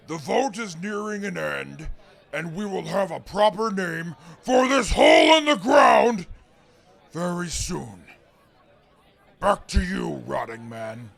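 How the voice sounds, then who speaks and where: Audio drama role: gruff super mutant reporter, pompous, disdainful, swooping in pitch, deep fry in the throat, ponderous delivery. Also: male voice, lively intonation, takes it slowly, fairly bright tone, shouting, a faint crowd, full character voice. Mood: scornful outbursts. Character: big gruff super mutant field reporter, scornful of humans. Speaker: field reporter.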